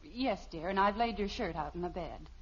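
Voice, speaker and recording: A woman playing a patient, gently needling middle-aged American housewife and mother in a 1950s radio sitcom, sweetly literal and drily teasing. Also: on the low side, normal speed, breathy edge. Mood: placid. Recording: muffled, hissy old radio recording.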